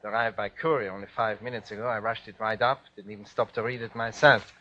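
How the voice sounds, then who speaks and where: An actor playing a placating, officious Nazi security chief in a 1940s radio drama. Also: male voice, fairly low pitch, steady tone, fast, bright, forceful tone, slight background noise. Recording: dull vintage 1940s radio recording.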